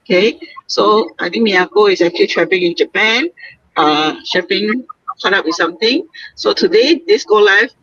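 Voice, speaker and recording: A woman's voice: medium pitch, moderate pace, fairly bright tone, breathy edge, no echo, dull audio. A woman from Singapore hosting a casual live stream, chatty, with many fillers and frequent laughs, talking on her way home. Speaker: host. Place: moving vehicle on the way home.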